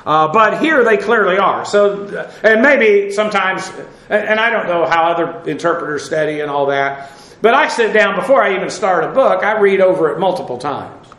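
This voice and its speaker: A man with a notably high voice teaches through a sermon verse by verse, pausing often on fillers and restarting his phrases. He is recorded in a reverberant church hall. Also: lively intonation, a bit bright. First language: English. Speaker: pastor. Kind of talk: expository sermon. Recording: echoey room.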